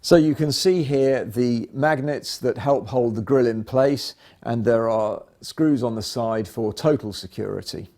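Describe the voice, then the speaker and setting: Male product presenter, mid-pitched and soft-edged, measured delivery for an instructional demo.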